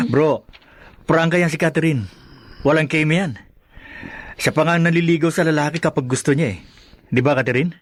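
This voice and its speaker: A man's voice: a little high, normal speed, pauses often, no room sound, natural voice. A man playing a teasing, scheming buddy in a Filipino radio drama. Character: teasing, scheming male buddy, falsely chummy.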